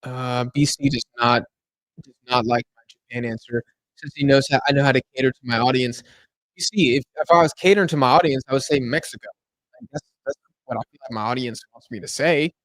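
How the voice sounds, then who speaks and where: A man's voice: mid-range voice, brisk, fairly bright tone, no room sound, poor audio. A tired young man hosting a casual live stream, chatting loosely and answering questions from the chat while losing his voice. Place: home room in Argentina.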